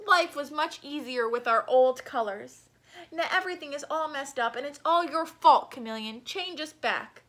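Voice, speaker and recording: A woman's voice: high voice, moderate pace, fairly bright tone, pitched above the performer's own voice. A woman voicing grumbling, indignant jungle animals from a storybook, complaining emphatically. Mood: angry.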